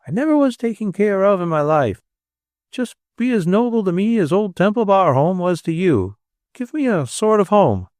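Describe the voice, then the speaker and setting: Here is a breezy, warm-hearted young New Yorker with a New York accent, as a narrator puts him on. He talks in a brisk, coaxing and plain-spoken way, pitched well above the reader's own voice, and lively in tone. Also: no echo, clean studio sound. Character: brash, kindly young New York man.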